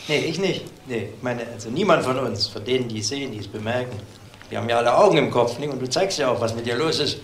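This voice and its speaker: A man's voice: medium pitch, quick pace, natural voice. A man playing a nervous, apologetic restaurant employee in a crime drama, hesitant, evasive and repeating himself. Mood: sheepish, awkward. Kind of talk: dramatic dialogue acting. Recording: noisy, echoey film dialogue.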